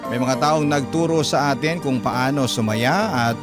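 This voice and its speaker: A Filipino-accented man narrates like a classic radio drama host. His pitch is mid-range and his delivery is measured and sentimental. Loud music runs underneath the whole narration.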